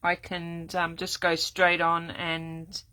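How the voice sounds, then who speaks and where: An older woman, chatting casually through a live card-making demo, rambling with many ums and restarts, her voice going croaky. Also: on the low side, completely flat delivery, slow pace, sharp tone, no room sound. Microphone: camera set up at desk.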